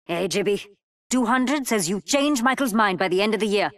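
A woman playing a cheeky, brash young gunner in a video game, with an Australian accent and a breezy, teasing, expressive delivery. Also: mid-range voice, normal speed, far from the mic, close to an everyday voice. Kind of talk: video game character lines.

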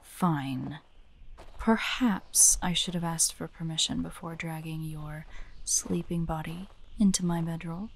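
Woman doing a tsundere hunter girl for audio roleplay, soft husky near-whisper, slow n hesitant, prickly but cares. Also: low-pitched, fairly even intonation, exaggerated voice, poor audio. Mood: defensive, flustered. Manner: tsundere, defensive, hesitant, breathy sighs. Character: prickly young woman hunter, guarded but secretly caring. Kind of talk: romantic audio roleplay acting, addressed to the listener.

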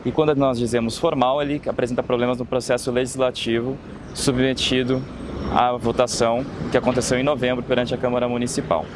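Brazilian male lawyer over loud street noise. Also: mid-range voice, flat delivery, a little fast. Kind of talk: formal explanation to camera. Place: outdoors on a city street. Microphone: lapel. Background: loud city ambience, vehicles.

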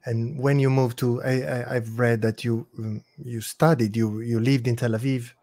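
A man's voice: mid-range voice, little pitch movement, normal speed, dark tone. Curious male interview host on headset. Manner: curious, encouraging, brief prompts. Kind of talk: casual interview. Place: home room, video call.

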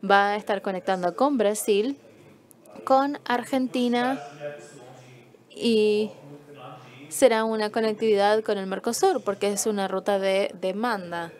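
A woman's voice: mid-range voice, measured pace, long pauses, a little mellow. A woman interpreting a conference talk as it is given, explaining step by step and quizzing the audience.

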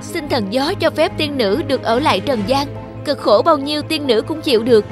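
A woman playing a gentle, devoted young fairy maiden in a cartoon fairy tale, speaking politely and earnestly. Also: high voice, lively intonation, brisk. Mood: desperate pleading. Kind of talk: animated fairy tale acting. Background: background music.

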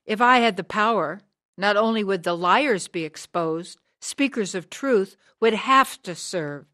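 A woman's voice: medium pitch, measured pace, pushed and bright. A woman playing a brilliant, hard, driven sixty-year-old activist in a radio drama, speaking in earnest declarations. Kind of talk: scripted radio drama acting.

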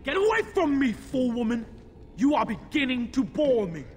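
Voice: high voice, big pitch swings, moderate pace, slight background noise. A performer playing a demon-possessed young boy in a fantasy game, haughty, mocking and commanding. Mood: scornful.

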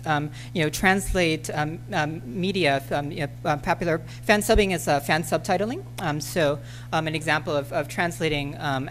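A woman in her thirties speaking as a panelist at a podium in a lecture hall, halting, with many ums and restarts. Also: deep voice, a little hiss or hum. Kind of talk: conference talk with slides. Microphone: podium microphone.

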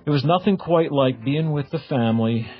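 A middle-aged man hosting a radio interview, chatting casually in a halting, reflective way. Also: medium pitch, soft background music. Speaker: radio show host. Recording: dull, lo-fi radio audio.

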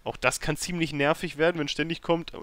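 A man's voice: a little high, fairly even intonation, fairly quick, fairly bright tone. A man giving casual, thinking-aloud commentary on a game as a let's player, often grumbling and sarcastic. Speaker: let's player hosting a gameplay series.

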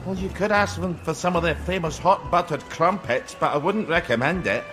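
An actor playing an eccentric, shifty older British man in a video game, gruff and colloquial as he swings between cajoling and muttering. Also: a little high, normal speed, over music, natural voice. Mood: wry, scheming. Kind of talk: video game cutscene acting.

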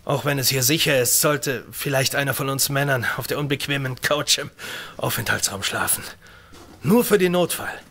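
Casting: male actor as authoritative research station leader, forceful bright voice, strained, thriller audio drama. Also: medium pitch, moderate pace, vocal fry. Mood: concerned, organising. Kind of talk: German audio drama acting.

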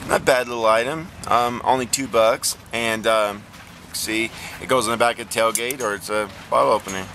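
A man's voice: medium pitch, fairly even intonation, normal speed, a bit bright, a little hiss or hum. A man hosting a casual vlog about flea market finds, talking plainly with many ums and restarts, recorded on a handheld phone camera. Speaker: flea market reseller vlogger.

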